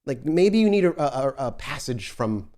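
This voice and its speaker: A man's voice: medium pitch, big pitch swings, normal speed, warm tone, pitched above the performer's own voice, lightly acted. A man voicing a smiling, overly friendly young male church caroler who stumbles over his words. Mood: eager friendly. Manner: eager, ingratiating, stumbling.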